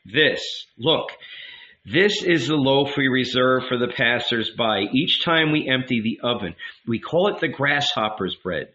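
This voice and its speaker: A man voicing a fierce, bitter, toothless old village woman with a shrill, screeching voice, in a dull lo-fi recording. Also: lively intonation, measured pace, sharp tone. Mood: kindly. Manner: swings from motherly coaxing to furious ranting.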